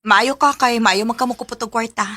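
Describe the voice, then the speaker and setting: A young woman playing a gentle, free-spending girlfriend in an amateur radio drama. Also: on the high side, a little fast, noisy background, natural voice. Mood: coaxing.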